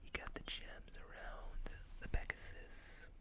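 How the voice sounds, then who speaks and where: An ASMR host speaking in a breathy whisper, reading card text aloud with casual asides in a hushed, deliberate way.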